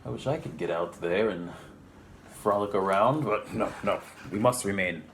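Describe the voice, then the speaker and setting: A man's voice: lowish voice, normal speed, a bit bright, a little hiss or hum. A man reading a picture book aloud to children with a polite, theatrical delivery and chatty asides. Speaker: library storytime presenter.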